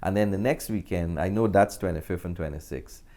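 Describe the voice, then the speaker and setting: A Guyanese man speaks in a low-pitched voice, answering in a casual interview style. His phrasing is hesitant, with pauses partway through his sentences as he explains things. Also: a little mellow. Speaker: interview guest, actor and filmmaker.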